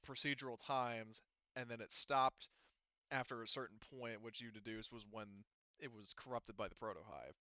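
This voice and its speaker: A man with a smooth, clear voice runs a tabletop game as game master. He explains rulings and story casually, pausing and restarting as he works things out. The sound is dry but a little dull, like lo-fi voice chat. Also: mid-range voice, bright, forceful tone, no echo. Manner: explanatory, halting, conversational.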